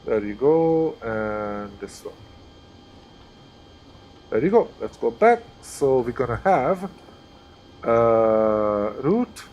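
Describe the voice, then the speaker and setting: A man's voice: medium pitch, big pitch swings, extremely slow, pauses often, darkish tone, a little hiss or hum. A man with a Hungarian accent, streaming live and commenting casually as he thinks aloud through each step. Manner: chatty, thinks aloud while working through steps. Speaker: flight sim streamer.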